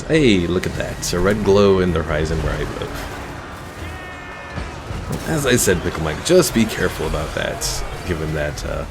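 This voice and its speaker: A man streaming a strategy game live, commenting casually and reading chat messages aloud with a laid-back chuckle. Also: deep voice. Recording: game music behind voice.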